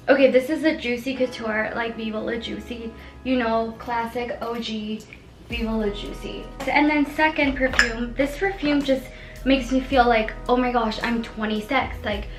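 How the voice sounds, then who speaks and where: A bubbly woman in her twenties chats her way through a beauty haul, gushing and giggling as she goes. Her voice sits a little high and her delivery is slow and unhurried. The room is echoey, with clear music playing behind her. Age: 20s. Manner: gushing, excitable, with frequent giggles. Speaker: beauty vlogger. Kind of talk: casual chatty haul vlog.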